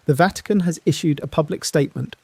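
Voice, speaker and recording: An actor playing a somber male foreign correspondent reporting from abroad, in a hushed, somber manner. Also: a little high, quick pace, slightly rough, no room sound. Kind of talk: scripted dramatic news report.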